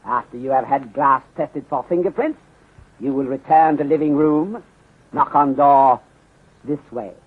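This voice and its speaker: A man's voice: medium pitch, a little slow, slightly rough. A man playing a courteous, shrewd Chinese detective inspector in a 1930s radio mystery, speaking formally and measuredly in clipped phrases without articles. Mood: calm, methodical. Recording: muffled, band-limited 1930s radio recording.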